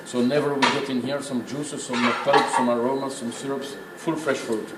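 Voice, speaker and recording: A man guides a tour with an emphatic, didactic delivery. He explains informally but with firm opinions. The sound is roomy and echoing, with clear background noise. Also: medium pitch, level tone, normal speed. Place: indoors in a working brewery.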